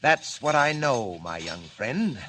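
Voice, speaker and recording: A theatrical, menacing old-radio gang boss. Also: male voice, medium pitch, very animated. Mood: gloating menace. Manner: theatrical, mock-courteous, deliberate menace. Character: cunning, menacing gang boss called the Wolf. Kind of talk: 1940s radio serial acting. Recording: noisy old radio recording.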